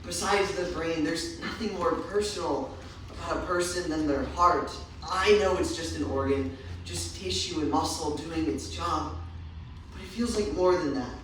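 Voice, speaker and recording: A man performs a heartbroken, anxious male patient in a stage readers theatre drama. His voice is on the high side, caught far from the mic in a very echoey room. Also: moderate pace, fairly bright tone, close to an everyday voice. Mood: troubled. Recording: echoey room recorded from far off, faint noise.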